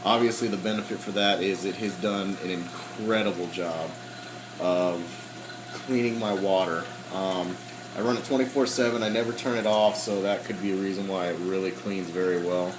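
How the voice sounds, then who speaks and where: A man walking through his aquarium equipment in a casual, halting way, with many ums and frequent throat clearing, his voice slightly hoarse. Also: deep voice, steady tone, roomy sound, noisy background. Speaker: aquarium hobbyist.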